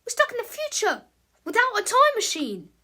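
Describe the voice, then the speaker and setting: A woman voicing an excitable, panicky young boy in a children's storybook, urgent and exclamatory. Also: very high voice, normal speed, pitched above the performer's own voice, heavily acted. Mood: worried, urgent. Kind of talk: children's storybook read-aloud.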